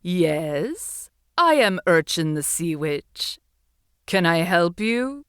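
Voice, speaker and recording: An opinionated sea witch of the deep, acted by a woman storyteller, speaks with theatrical, swooping emphasis. She is helpful yet grumbly, an unhurried storybook character who leans on her words. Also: sing-song, clean tone, dry recording, studio quality, heavily acted. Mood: curious, wry. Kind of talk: children's storybook reading.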